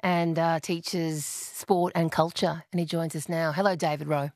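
A woman radio host with a lowish voice asks her guest leading questions in an easy conversational way. She is recorded close on a dry studio microphone. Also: level tone, measured pace, darkish tone, no room sound. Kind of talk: radio interview.

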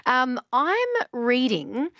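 A woman's voice: sits high, big pitch swings, extremely slow, fairly bright tone, dry recording, studio quality. A woman hosting a radio show, chatting casually and reading out listener texts. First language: English. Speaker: radio host.

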